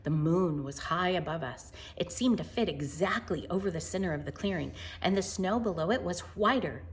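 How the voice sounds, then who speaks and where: A woman reading a picture book aloud to children in an expressive, wonder-filled way, with chatty asides and hushed moments. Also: lowish voice, normal speed. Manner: animated, wonder-filled, addressing young listeners, dropping to hushed tones.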